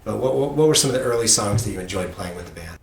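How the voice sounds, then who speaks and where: A man's voice: on the low side, expressive, racing. A middle-aged man hosting a casual conversation, asking questions and sharing his own stories. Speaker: podcast host and parent interviewing own child. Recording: roomy echo with clear background noise.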